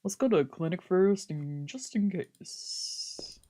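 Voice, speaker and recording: A woman's voice: on the low side, expressive, slow pace, dark tone. A woman doing casual, playful let's play commentary, chatting informally and exclaiming as she reads along. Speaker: streamer, let's player. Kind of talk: casual let's play commentary, reading game text aloud.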